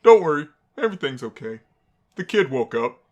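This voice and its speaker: A man's voice: on the high side, sing-song, brisk. A man voicing a smug, laid-back skeleton comedian with a punning, showman-like delivery for a visual novel. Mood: jokey, breezy. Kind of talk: fan visual novel voice-over.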